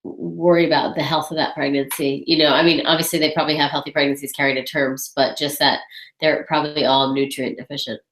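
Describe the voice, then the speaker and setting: Congested low-voiced woman hosting a webinar. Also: level tone, fast, a bit bright, echoey room, rough recording. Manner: chatty, thinks aloud, reads out viewer questions. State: congested with a head cold. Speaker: webinar host. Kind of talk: casual Q&A on a webinar.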